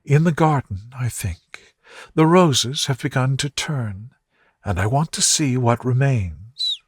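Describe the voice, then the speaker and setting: A man voicing a tender, thoughtful young gentlewoman in love, murmuring softly for a romantic bedtime story. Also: medium pitch, lively intonation, takes it slowly, husky, dry recording, studio quality, pitched above the performer's own voice. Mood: wistful.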